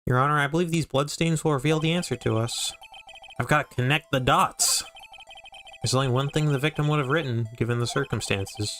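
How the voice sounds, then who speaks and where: A man acts out an earnest defense attorney from a courtroom video game. He speaks with determination in a medium-pitched male voice. Music plays behind the fan-dub performance. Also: brisk, fairly bright tone, lightly acted. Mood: earnest, determined. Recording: music under the voice.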